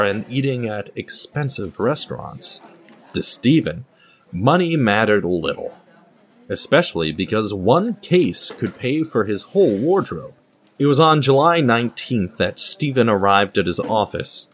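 A man narrating a scripted dramatic story, reading as a storyteller. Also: lowish voice. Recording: music under the voice.

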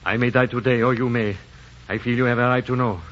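A man's voice: mid-range voice, fairly even intonation, fast, a bit bright, natural voice. An actor playing a loyal, earnest French-Canadian backwoodsman in a 1940s radio drama. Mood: grave, confiding. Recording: dull noisy 1940s radio recording.

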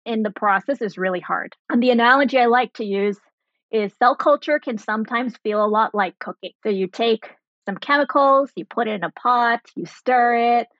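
A woman in her thirties explaining things clearly and conversationally as an interview guest, with simple, articulate phrasing. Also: on the high side, normal speed, fairly bright tone, dry recording, lo-fi sound. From grew up in Germany and Sri Lanka. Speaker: guest, biotech founder and laser physicist.

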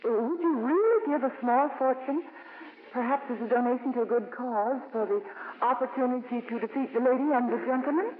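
An actress playing an earnest, courteous and quietly determined nun in a 1950s radio drama. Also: female voice, on the high side, brisk, natural voice. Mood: polite, tentative. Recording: hissy, narrow-band 1950s radio recording.

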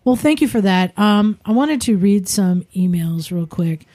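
A woman's voice: mid-range voice, expressive, unhurried, dark tone. A woman hosting a podcast, reading listener emails aloud in a chatty way and laughing often. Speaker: podcast host.

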